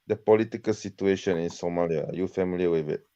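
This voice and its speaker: A man's voice: sits low, fast, dark tone. A man from Malaysia with a Malaysian accent, calling in with casual, hesitant questions and repeating his phrases. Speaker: caller. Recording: dull lo-fi call.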